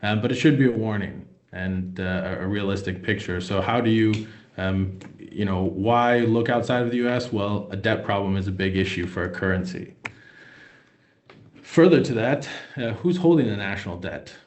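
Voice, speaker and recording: A man's voice: lowish voice, moderate pace, darkish tone, echoey room, a little hiss or hum. A young American man presenting a finance webinar, hesitant with many fillers and restarts. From United States. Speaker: wealth manager presenting a webinar.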